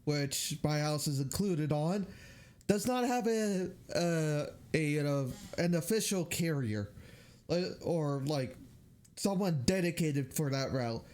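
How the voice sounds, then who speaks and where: A young man giving casual livestream game commentary in a halting, rambling way. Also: a little high, animated, darkish tone. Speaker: game streamer. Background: game music.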